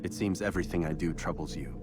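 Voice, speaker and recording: An actor playing a proud, cool-headed assassin in a video game, speaking in a terse, controlled, challenging way. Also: male voice, low-pitched, quick pace, lightly acted. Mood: dry, impatient. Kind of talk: video game dramatic acting. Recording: music behind.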